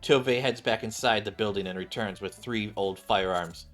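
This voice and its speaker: A man's voice: lowish voice, moderate pace, a bit bright. A man streaming a game, reading its story text aloud and often stumbling and rereading lines. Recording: game music behind the voice. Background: game music.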